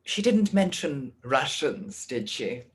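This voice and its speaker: A woman's voice: very deep voice, very animated, slow pace, heavily acted. A woman playing a genteel, over-polite lady of the house in an amateur radio play, with theatrical delivery. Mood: accusing.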